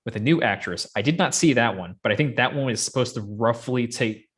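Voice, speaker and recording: A man's voice: medium pitch, brisk, a bit bright, breathy edge. Casual, rambling man chatting about movies. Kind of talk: casual chat about films and games.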